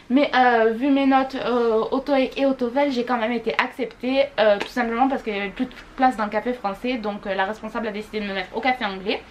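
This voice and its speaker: A young woman vlogging a casual monthly recap, gushing and repeating words for emphasis. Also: a little high. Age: young adult. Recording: faint background noise.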